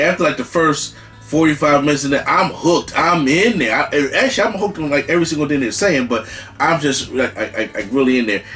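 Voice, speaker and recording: Male host, mid-pitched, emphatic and repetitive, quiet guitar music underneath. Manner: excited, repetitive restarts, emphatic. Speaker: channel host, movie reviewer. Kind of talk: casual movie review.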